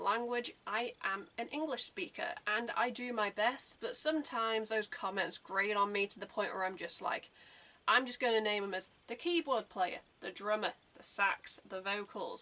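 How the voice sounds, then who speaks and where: A woman's voice: medium pitch, moderate pace, bright, forceful tone. A young adult woman hosting a reaction channel, talking casually in a rambling, chatty way with lots of fillers.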